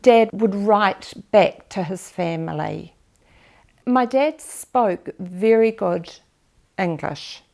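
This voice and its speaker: A New Zealand woman in her fifties or sixties tells her family's story for a documentary in an unhurried, thoughtful way. Her voice carries a gravelly edge, and she takes her time with frequent pauses. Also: medium pitch, warm tone. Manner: measured and reflective, with pauses. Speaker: documentary interviewee. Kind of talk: personal family memoir.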